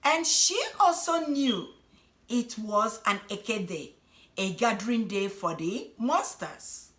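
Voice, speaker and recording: A woman telling a folk tale as a storyteller, in a dramatic, expressive manner. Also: mid-range voice, slow pace. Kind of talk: scripted folk tale narration for children.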